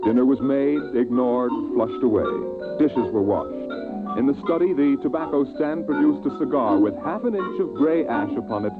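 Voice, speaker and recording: A man narrating a story in solemn, theatrical old radio drama style with deliberate phrasing. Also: mid-range voice, expressive. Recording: tinny vintage radio recording, music behind.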